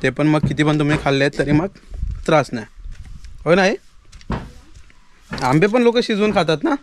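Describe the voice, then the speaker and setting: A man's voice: fairly high pitch, normal speed, slight background noise. A man in his thirties from the Konkan coast with a Konkani Marathi accent, chatting casually to viewers while holding a camera at arm's length outdoors in a village. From Konkan, Maharashtra, India. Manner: relaxed, chatty, addresses viewers directly. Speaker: village vlogger. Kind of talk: casual vlog narration to camera. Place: outdoors in a rural village yard. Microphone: handheld camera at arm's length.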